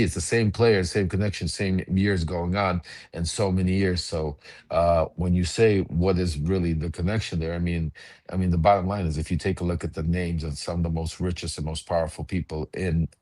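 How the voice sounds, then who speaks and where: A middle-aged man from the former Soviet Union speaks in a very low voice, close and dry, with no room around it. He rambles on a video call, restarting sentences and correcting himself as he goes. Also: a little mellow, no room sound. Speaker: panel guest. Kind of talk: casual discussion.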